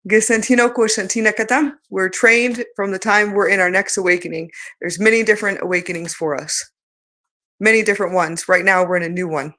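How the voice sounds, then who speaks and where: A woman's voice: medium pitch, a bit bright. A woman channeling in light language, chanting flowing rhythmic syllables between casual remarks, her voice sounding changed and strained. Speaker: spiritual channeler.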